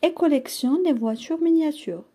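A woman teaching, reading a short text aloud and translating it phrase by phrase, slow and careful. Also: high voice, warm tone. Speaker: French teacher.

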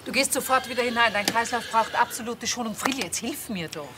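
Actress as bossy, worried daughter, fairly high. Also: female voice, quick pace, background noise, close to an everyday voice. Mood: alarmed, scolding. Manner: urgent, scolding. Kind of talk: German crime audio drama acting.

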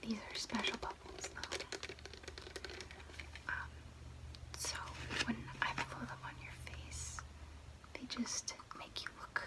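A young woman playing a friendly water fairy in an ASMR roleplay, speaking casually in a breathy, close-miked whisper with small hesitations. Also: takes it slowly, long pauses, natural voice, rough recording. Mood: gentle, focused. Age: young adult. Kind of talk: ASMR roleplay, personal attention. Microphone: close mic.

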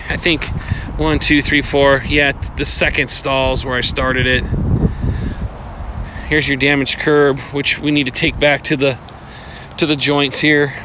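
A man's voice: mid-range voice, sharp tone, a little hiss or hum. A man walking an outdoor parking lot with a handheld phone, narrating a plain, matter-of-fact walkthrough and counting aloud with fillers. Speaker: paving estimator. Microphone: phone held in hand while walking.

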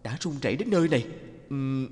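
An actor playing a meek, naive young poor villager in a fairy-tale cartoon, speaking earnestly and plaintively. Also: male voice, mid-range voice, expressive, a little fast, darkish tone, slightly rough. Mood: anxious.

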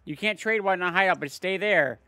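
Man, game stream host, upbeat bright voice, dry close sound, chats in bursts. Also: sits high, fast talker, no room sound, soft background music. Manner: chatty, talks to viewers and family in bursts. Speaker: livestream host running giveaways. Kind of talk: casual livestream chat.

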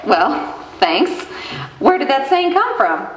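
A woman's voice: high voice, very animated, slow pace, slight background noise, exaggerated voice. A woman playing a polite, puzzled small-town interviewer in a radio show performed on a theater stage. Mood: polite, welcoming. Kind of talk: old-time radio show acting on stage. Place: theater stage before an audience. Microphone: stand microphone, camera at a distance. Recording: echoey live stage recording.

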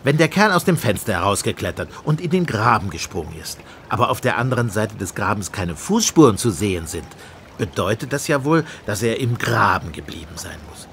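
A blustery sceptical cop inspector, radio play, bossy and dismissive, voice jumps around, slight background noise. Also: male voice, lowish voice, big pitch swings, fairly quick, a bit bright, heavily acted. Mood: businesslike. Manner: gruff, dismissive, commanding. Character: blustery, sceptical, sarcastic male police inspector. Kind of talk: German radio play acting.

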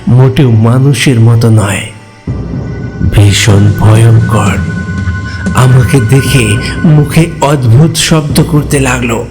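A man's voice: medium pitch, slow pace, long pauses, loud music behind the voice, lightly acted. A man playing a frightened narrator who recounts a ghost story in a dramatic, suspenseful way. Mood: suspenseful, eerie. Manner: suspenseful, with anxious repetition. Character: frightened male hotel customer recounting his ghost encounter. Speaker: first-person narrator.

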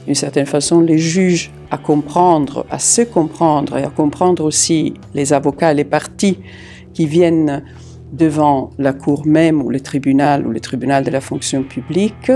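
A middle-aged woman with an Italian accent, an interpreter answering interview questions with many hesitant filler pauses, seated in an office. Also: low-pitched, steady tone, warm tone, soft background music. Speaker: court interpreter. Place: office room with large window.

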